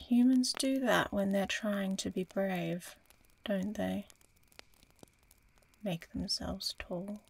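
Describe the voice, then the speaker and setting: Woman voicing a slow, husky ancient she-dragon. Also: medium pitch, exaggerated voice, poor audio. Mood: commanding, sly. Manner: slow, deliberate, breathy, teasing. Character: ancient female dragon, proud, curious, menacing. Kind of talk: ASMR audio roleplay.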